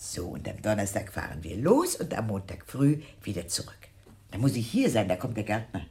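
A woman's voice: a bass voice, sing-song, brisk, mellow, breathy edge, full character voice. An older woman playing a sprightly, doting old aunt in a radio crime drama, exclaiming, sighing and fussing. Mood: coaxing, affectionate.

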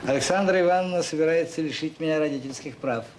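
Brisk, haggling enterprise boss played by a man. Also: a little high, darkish tone, a little hiss or hum, lightly acted. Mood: businesslike. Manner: brisk, jocular, bargaining. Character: busy, jocular male enterprise boss who haggles. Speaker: actor in a radio drama. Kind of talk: naturalistic Soviet radio drama acting.

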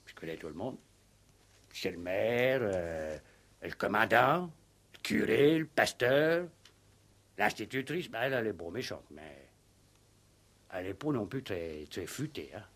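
Slow-witted, deep-voiced village farmhand. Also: male voice, expressive, a bit bright, exaggerated voice. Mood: grumbling, scornful. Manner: halting, rustic, blunt. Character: simple-minded village farmhand, spiteful, grumbling gossip. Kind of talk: 1960s French radio play acting.

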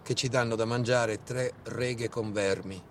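A man's voice: medium pitch, fairly even intonation, a little slow, a little hiss or hum, close to an everyday voice. A man performing a dramatic war monologue as a theatrical, bitterly ironic storyteller. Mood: pleading. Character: bitter, sardonic male storyteller of war captivity.

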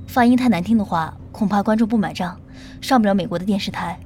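Brisk female agent for audio drama, played by an actress: quick, matter-of-fact, some noise behind. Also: mid-range voice, a little mellow, close to an everyday voice. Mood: brisk, businesslike.

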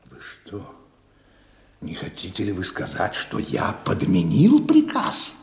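An actor playing a quietly authoritative bishop in a radio drama, measured, pointed and insistent. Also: male voice, low-pitched, huge pitch swings, creaky, heavily acted. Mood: offended, cold. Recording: echoey hall reverb.

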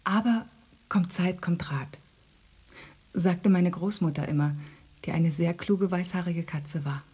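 Mellow, husky, motherly she-cat. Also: on the low side, measured pace. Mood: tender, wistful. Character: motherly, worldly-wise female cat, gently sceptical. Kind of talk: intimate radio drama acting.

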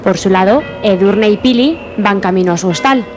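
A woman's voice: mid-range voice, normal speed, music in the background. A woman with a Spain accent giving scripted narration for a radio drama. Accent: Spain Spanish.

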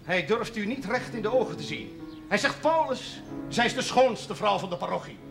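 A solemn character in a TV drama, florid and theatrical in his phrasing. His voice is high for a man, with clear music behind it. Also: expressive, normal speed. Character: man solemnly and theatrically relaying a priest's warning. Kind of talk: television drama acting.

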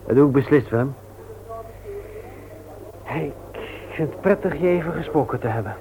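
An actor in a radio play, playing a grieving, weary widower with a halting, heavy-hearted delivery. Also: male voice, on the high side, expressive, gravelly. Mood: resigned. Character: Gerrit, grieving widowed father, subdued and weary. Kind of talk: Dutch radio play acting. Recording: tinny, noisy old radio play recording.

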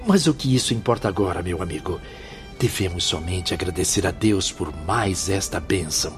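A wondering, devout male spirit with a very low voice and a Brazilian accent. He sounds reverent and theatrical, radio soap style, with music clearly playing behind him. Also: animated, moderate pace. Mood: awed. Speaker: actor in a radio drama.

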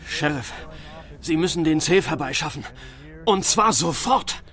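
An actor playing an anxious, urgent go-between in a German radio drama, speaking in a pressing, insistent way. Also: male voice, a little high, expressive, a little slow, a bit bright. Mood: urgent, warning. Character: anxious, urgent male go-between warning of danger.

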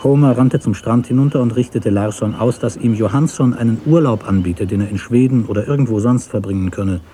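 A man narrating a literary story for radio in a measured, deliberate way. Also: medium pitch, mellow, a little hiss or hum.

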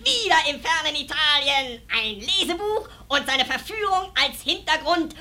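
A woman's voice: sits high, animated, moderate pace, pushed and bright. An actress playing a gleeful squirrel henchman in a radio play, declaiming gruesome love stories like a mock-solemn showman. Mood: showy, mock-solemn. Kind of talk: theatrical barker-like radio play announcing.

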